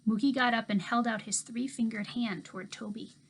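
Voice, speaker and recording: A woman teacher reads a chapter book aloud to her class, giving the story an expressive, storytelling lilt. Her voice sits a little higher than most women's. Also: brisk, slightly rough. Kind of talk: reading a novel aloud to a class.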